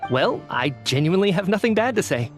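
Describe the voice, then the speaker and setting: An earnest, talkative male fan from a video game. His delivery is very lively and varied, gushing and a little flustered. Light music sits behind the voice. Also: high-pitched, fairly quick. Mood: earnest, admiring. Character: eager, talkative, schedule-bound theater fan, an energy expert. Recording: music under the voice.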